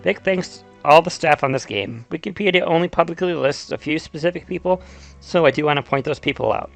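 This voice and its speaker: A man's voice: on the high side, fairly quick, creaky, soft background music. A man narrating a video essay about games, mixing storytelling with casual, conversational asides. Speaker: YouTuber, video game explainer. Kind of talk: video essay narration, semi-scripted retelling and commentary.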